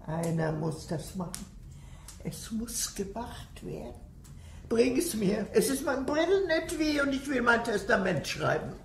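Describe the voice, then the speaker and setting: A woman's voice: on the high side, big pitch swings, unhurried. An actress playing a cranky, commanding, bedridden old lady in a German radio play. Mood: commanding, secretive. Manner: commanding, querulous. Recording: echoey room, faint background noise.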